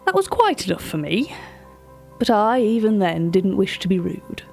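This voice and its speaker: A woman playing a polite, curious auction curator, narrating scripted audio fiction in a measured, literary way. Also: mid-range voice, very animated, gravelly, darkish tone, faint music behind. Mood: hushed wonder. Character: curious, polite female auction catalogue curator telling eerie tales. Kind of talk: scripted first-person narration.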